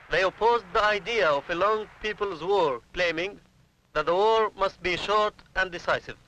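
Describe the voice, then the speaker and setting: A man's voice: high voice, pushed and bright, a little hiss or hum, low-quality recording. A man giving scripted first-person political testimony as narration on a 1970s documentary soundtrack. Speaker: Palestinian militant narrator.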